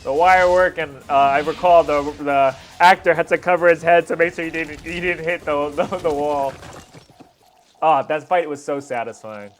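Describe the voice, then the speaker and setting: A man with a fairly high voice gives excited play-by-play reaction commentary. He talks in casual, slangy bursts like an online video host. Music plays behind his voice. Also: normal speed. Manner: casual slang, hyped exclamations.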